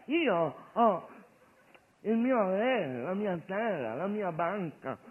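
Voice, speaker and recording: Man playing a high-pitched indignant peasant, tinny broadcast. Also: big pitch swings, slow pace, slightly rough, heavily acted. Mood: indignant, possessive. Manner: emphatic, possessive, repetitive. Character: stubborn, indignant poor peasant defending his land. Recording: band-limited radio broadcast of stage performance.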